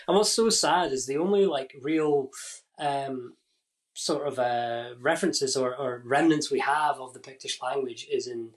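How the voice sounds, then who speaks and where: Scottish man explaining history, halting. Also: mid-range voice. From northeast Scotland. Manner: explains at length with many fillers and restarts. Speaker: guest expert on Pictish history. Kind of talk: casual podcast interview.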